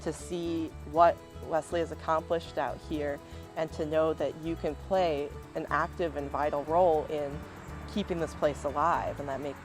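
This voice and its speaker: A woman's voice: sits low, fairly even intonation, moderate pace. Woman interviewed, music behind her. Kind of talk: on-camera interview.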